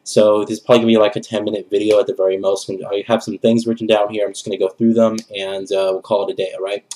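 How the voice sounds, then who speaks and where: A man's voice: on the low side, little pitch movement, fast, mellow, a little hiss or hum, low-quality recording. Nasal, congested young man, casual talk. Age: young adult. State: has a cold. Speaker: gaming vlogger. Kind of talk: casual rambling channel update to camera. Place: small bedroom, indoors.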